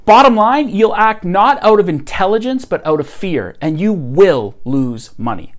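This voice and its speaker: A man's voice: sits high, lively intonation, a little slow. A man in his thirties hosting a scripted finance explainer, upbeat and emphatic, filmed at home in front of bookshelves. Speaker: host.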